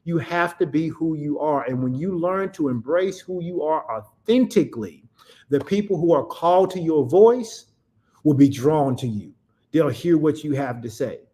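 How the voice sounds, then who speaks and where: A man's voice: on the high side, expressive, moderate pace, mellow. A man preaching a motivational sermon, emphatic and repetitive, often asking a question and answering it himself.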